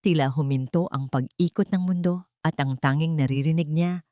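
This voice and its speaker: Low-voiced woman narrating melodrama. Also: normal speed, dark tone. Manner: solemn, melodramatic. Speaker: audiobook narrator. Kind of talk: dramatic scripted story narration. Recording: dull lo-fi audio with room echo.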